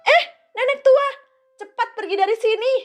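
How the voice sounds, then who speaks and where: Shrill stuck-up young girl in a kids cartoon, woman doing the voice, snooty and mean, way high. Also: moderate pace, faint music behind. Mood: scornful. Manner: haughty and scornful, high shrill voice. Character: vain, arrogant young village girl.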